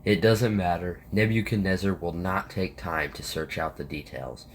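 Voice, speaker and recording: A man's voice: sits low, steady tone, normal speed. A man acting a stern, resolute military captain burdened by guilt, with a commanding manner, in an amateur audio drama. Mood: grave, firm.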